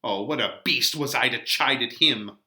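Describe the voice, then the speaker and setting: A man performing a distraught, passionate teenage bride in a melodramatic, drawn-out Shakespeare reading. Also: medium pitch, sharp tone, voice pushed down. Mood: indignant.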